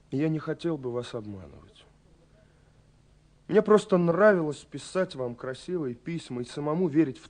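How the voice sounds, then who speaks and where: Man acting young lovestruck romantic, mid-range male voice, anxious, self-effacing, halting confiding delivery, Soviet radio play style. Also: medium pitch, natural voice. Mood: sheepish, confiding. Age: young adult. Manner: agitated, halting, confiding, with swells and hushed drops. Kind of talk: dramatic reading of a love letter, 1970s Soviet radio play.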